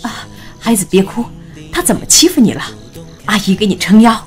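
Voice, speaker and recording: A woman playing a kindly, protective middle-aged mother in an audio drama, speaking in a husky, coaxing, motherly way. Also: mid-range voice, very animated, fairly quick, soft background music, lightly acted. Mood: concerned, protective. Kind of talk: Mandarin audio drama acting.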